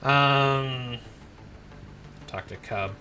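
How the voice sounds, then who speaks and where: Guy rambling over gameplay, super bright voice, some music in the back. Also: medium pitch, very slow. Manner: rambling, frequent restarts and fillers. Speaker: gameplay commentator. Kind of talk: casual let's play commentary.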